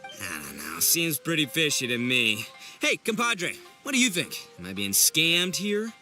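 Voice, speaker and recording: A man's voice: fairly high pitch, very animated, a little slow, pushed and bright, soft background music, heavily acted. An actor playing a brash, gullible, overconfident young oni man in a video game, with boastful, theatrical, slangy delivery. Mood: suspicious. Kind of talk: animated video game voice acting.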